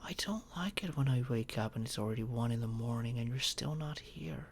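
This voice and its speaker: A man playing a tender, protective boyfriend in a soft, soothing ASMR roleplay, often close to a whisper. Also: mid-range voice, fairly quick, slight background noise, lightly acted. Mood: tender, pleading. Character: tender, protective boyfriend soothing his overworked partner to sleep.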